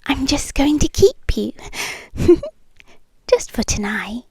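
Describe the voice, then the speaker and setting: A British woman playing a possessive, doting yandere girlfriend in an intimate ASMR roleplay, soft, coaxing and often whispering close to the mic. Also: high voice, sing-song, slow pace, dry recording, exaggerated voice. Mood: tender, possessive. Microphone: close microphone, dry.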